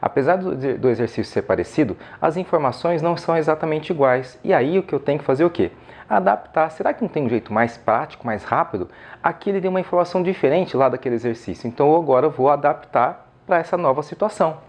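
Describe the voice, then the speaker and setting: A man explains a math problem step by step. He often pauses to check in with his students. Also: on the high side, expressive, a little mellow. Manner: frequent "tá?" and "ok?" check-ins, step by step. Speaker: math teacher.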